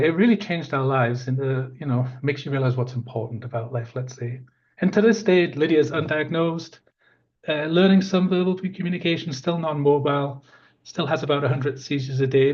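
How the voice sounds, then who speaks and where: A croaky-voiced man in his fifties gives a webinar presentation on a video call. He speaks a little higher than most men, earnest and conversational, with plenty of "uh"s. The audio sounds dull and lo-fi. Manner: conversational and earnest, with many fillers. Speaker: park planning manager presenting a webinar.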